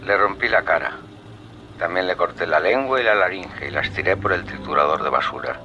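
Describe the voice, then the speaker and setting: An actor with an accent from Spain playing a calm serial killer who recounts his crimes in a measured, sardonic way, in a radio drama. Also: sits low, highs cut off, slight background noise. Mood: chillingly matter-of-fact. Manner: measured, coldly matter-of-fact, darkly sardonic. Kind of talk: Spanish radio drama acting.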